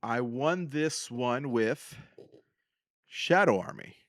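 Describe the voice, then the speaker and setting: A man's voice: medium pitch, animated, slow, a bit bright, no echo, studio quality. A man hosting a podcast, casually recapping his hobby in a solo talk. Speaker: podcast host. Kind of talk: casual solo recap of card game decks and matches.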